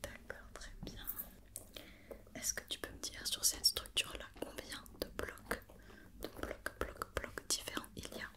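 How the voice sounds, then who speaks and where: Female ASMR artist as examining doctor: fully whispered, breathy, patient and methodical, repeating gentle instructions and questions. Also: measured pace. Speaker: ASMR performer playing a doctor examining a robot. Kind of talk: whispered ASMR medical check-up roleplay.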